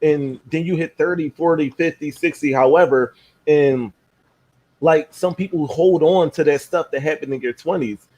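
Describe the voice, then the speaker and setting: A man's voice: on the high side, normal speed, husky. Playful man hosting a live stream. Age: 30s. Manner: relaxed and playful, laughs easily. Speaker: host. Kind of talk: casual live-stream talk.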